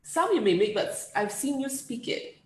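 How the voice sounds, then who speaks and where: A woman's voice: fairly high pitch, big pitch swings, moderate pace, darkish tone, echoey room. A woman hosting an interview, asking encouraging, leading questions in a casual manner. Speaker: interview host.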